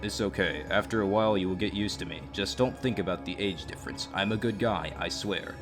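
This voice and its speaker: A man's voice: on the low side, a little fast, music in the background, voice pushed down, natural voice. A man voicing a creepy adult online predator as a villain, hesitant, coaxing and falsely reassuring.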